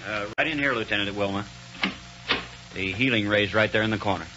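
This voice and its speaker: A man's voice: lowish voice, a little fast, pushed and bright. An actor playing a deferential, obliging spaceport foreman in a 1930s radio serial. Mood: helpful. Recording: very noisy, dull 1930s radio recording.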